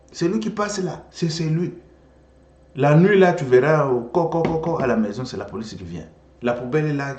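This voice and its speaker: Congolese man, Congolese French accent, Swahili native: lively political commentator, repeats himself, echoey room. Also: on the high side, dull audio. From Democratic Republic of the Congo. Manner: animated storyteller, repeats phrases, switches languages. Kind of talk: impassioned political monologue.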